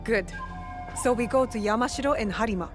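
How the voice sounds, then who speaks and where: An actress playing a blunt, vengeful young assassin in a video game, terse and direct. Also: female voice, on the high side, a little fast, music in the background, close to an everyday voice. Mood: determined. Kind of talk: dramatic video game cutscene acting.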